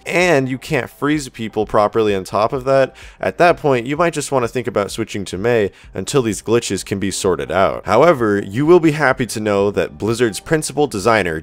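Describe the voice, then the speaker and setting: A man's voice: fairly low pitch, dry recording. A man hosting a gaming news video, explaining updates directly to viewers in scripted narration. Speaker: YouTube gaming news host.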